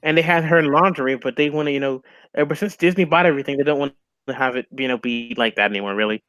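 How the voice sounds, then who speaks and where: A man's voice: mid-range voice, fast talker, fairly bright tone, dry recording. A young man chatting casually and with strong opinions as a stream co-host, full of "like" fillers. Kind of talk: casual chat over a call.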